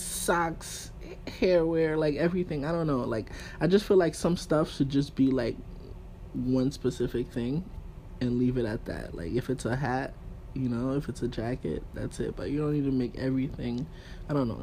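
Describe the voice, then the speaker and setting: A man chatting casually and informally as a guest, using relaxed slang as he gives his opinions. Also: medium pitch, moderate pace. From Haitian background. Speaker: podcast guest. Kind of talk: casual chat about fashion. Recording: clear background room noise.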